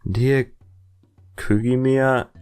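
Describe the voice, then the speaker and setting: A young man reading game text aloud and commenting as he plays, with amused, halting asides. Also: mid-range voice, fairly even intonation, a little mellow, smooth. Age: young adult. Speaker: video game player and commentator. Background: game music.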